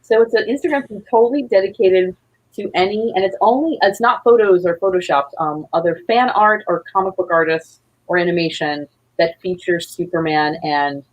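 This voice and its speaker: A woman's voice: fairly low pitch, normal speed, highs cut off. A woman guest chatting casually in an informal, gushing, rambling way. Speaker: guest, playwright and audio drama creator. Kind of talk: casual chat.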